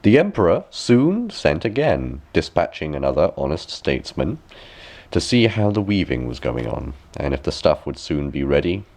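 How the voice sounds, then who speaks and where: A man with a deep voice tells a scripted fairy tale. His delivery is expressive and highly varied in pitch, with measured phrases set out deliberately for the listener. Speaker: storyteller.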